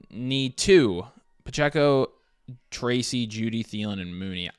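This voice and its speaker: A man with a fairly low voice and an American accent hosts a casual live question-and-answer stream. He reads questions out, thinks aloud and gives short, conversational picks. Faint music plays behind him. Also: a bit bright, smooth. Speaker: fantasy football livestream host.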